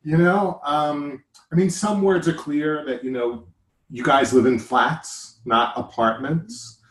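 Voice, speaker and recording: A man's voice: a little high, slow pace, a bit bright, reverberant, slight background noise. A man with an American accent, hosting a casual chat in a joking, rambling way that often interrupts itself. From United States. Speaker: podcast host.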